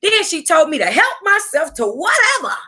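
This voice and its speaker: An American woman reading a memoir aloud as a book club host, with sassy, exclamatory asides and drawn-out emphasis. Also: very high voice, sing-song, pushed and bright.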